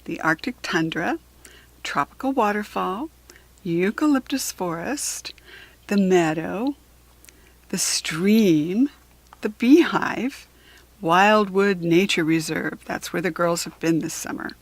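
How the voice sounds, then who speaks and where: An American woman reading a children's book aloud in an expressive, instructive storytelling style. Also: fairly low pitch, slow, dry recording.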